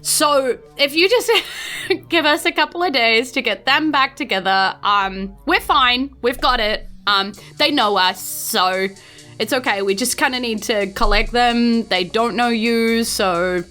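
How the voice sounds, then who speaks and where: Woman as a bright, evasive hospital nurse. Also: a little high, unhurried, soft background music. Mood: evasive, reassuring. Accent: New Zealand. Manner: hesitant, explanatory, placating.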